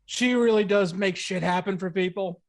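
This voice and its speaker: A woman's voice: medium pitch, normal speed, a little mellow, natural voice. A woman playing a supportive, easygoing male partner in a script table read, casual and encouraging. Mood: reassuring. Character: supportive, easygoing live-in partner named Todd, a man.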